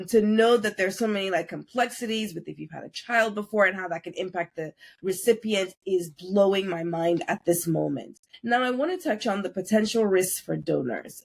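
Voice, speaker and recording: A woman hosting a podcast interview, speaking conversationally with effusive, expressive wording. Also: medium pitch, vocal fry, husky. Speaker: podcast host.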